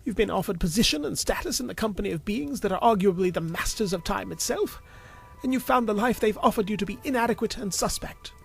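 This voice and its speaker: A man's voice: sits high, animated, fast, vocal fry, breathy edge, soft background music, voice pushed up, full character voice. A man voicing a spry, eccentric old time lord hermit in a storybook, speaking with direct, earnest dignity. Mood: earnest, intense. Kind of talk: storybook character dialogue.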